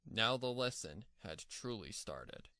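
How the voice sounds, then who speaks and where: A man's voice: lowish voice, sing-song, unhurried, bright, forceful tone. A man narrating a scripted story as its narrator.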